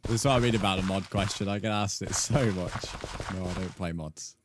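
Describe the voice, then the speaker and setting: A man's voice: sits low, brisk, background noise. An English man chatting casually with viewers as a live streamer, in a rambling, matey way. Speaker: video game live streamer.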